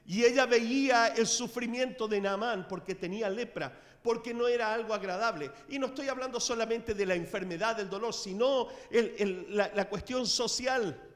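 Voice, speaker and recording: High-voiced pastor preaching in a reverberant church. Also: male voice, normal speed. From Latin America. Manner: storytelling preacher, dramatic swings in loudness. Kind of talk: sermon. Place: church sanctuary.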